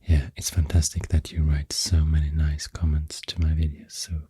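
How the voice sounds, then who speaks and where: A man with a Swedish accent rambles in soft-spoken, near-whispered ASMR, gentle and unhurried, close to the microphone. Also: completely flat delivery, dry recording. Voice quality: breathy, near-whispered deep voice. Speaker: ASMR video host.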